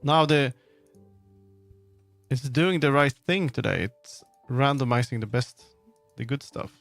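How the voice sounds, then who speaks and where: A man livestreaming, chatting casually with viewers in a rambling, halting way as he muses aloud. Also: mid-range voice, creaky, fairly bright tone, no echo. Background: background music.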